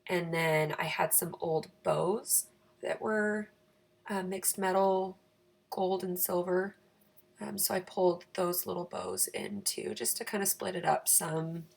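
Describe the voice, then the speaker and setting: A woman with a raspy, croaky voice giving a chatty, self-deprecating, casual craft voice-over for her planner channel. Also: fairly low pitch, expressive, slow pace.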